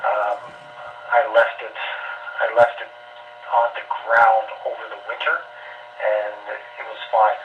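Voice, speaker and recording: A man giving casual, practical advice over a radio link, halting as he searches for words. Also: on the low side, completely flat delivery. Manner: halting, pausing to find words. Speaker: amateur radio operator on a net. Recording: tinny narrowband radio link, noisy, distant.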